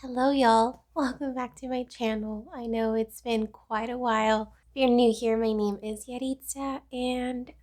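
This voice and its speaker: A woman's voice: on the high side, steady tone, measured pace, hardly any pauses, a little mellow, soft background music. An American woman talks in a chatty, informal way, as if catching up with her followers. Her voice is stuffed up from a cold. She sounds like a vlogger casually walking viewers through her working life. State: congested from a cold. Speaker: vlogger, pre-K instructional coach. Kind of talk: casual vlog update.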